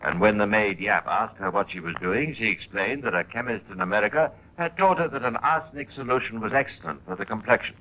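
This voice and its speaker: A man narrating a 1950s radio crime drama in a formal, deliberate, scripted style. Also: on the low side, pushed and bright, dull audio, a little hiss or hum.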